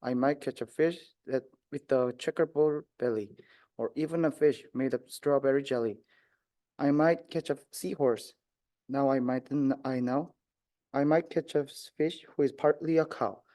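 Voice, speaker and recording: A man's voice: medium pitch, moderate pace, warm tone. A young man, a student, reading a rhyming children's book aloud with occasional stumbles. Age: young adult. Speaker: student reader.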